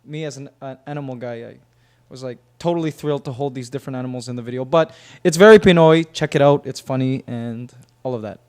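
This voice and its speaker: A man's voice: medium pitch, fast, darkish tone. A man from Canada, a guest on a podcast, talking things through thoughtfully with many restarts. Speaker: guest, YouTube comedian. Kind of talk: casual podcast interview.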